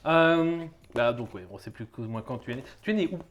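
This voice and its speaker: A man with a light, easygoing hosts a casual sit-down interview. He asks his questions in a relaxed way, chuckles often and lets the talk wander. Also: mid-range voice, a little hiss or hum. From Belgium. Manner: relaxed, easygoing, quick to laugh. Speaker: host.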